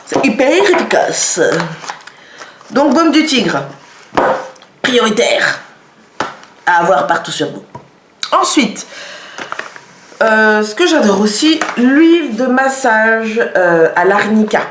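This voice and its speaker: A woman's voice: fairly high pitch, expressive, takes it slowly, pauses often, a little hiss or hum. A woman showing products in a casual vlog, talking with many hesitations and fillers. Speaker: vlogger. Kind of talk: casual product show-and-tell. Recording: echoey room.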